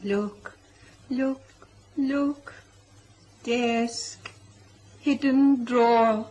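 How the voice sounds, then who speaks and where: Slow, high ghostly woman. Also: steady tone, warm tone, rough recording. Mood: eerie, distressed. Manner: drawn-out, eerie repetition. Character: dead woman's ghostly, strained voice, faked for haunting. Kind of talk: old-time radio drama acting. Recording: old radio drama recording.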